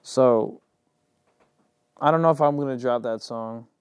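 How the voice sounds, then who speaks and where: A man's voice: mid-range voice, measured pace, darkish tone, smooth, no echo. A young man, a rapper and singer, talking casually in an interview, rambling and filling his sentences with "like". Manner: loose and rambling, heavy on "like".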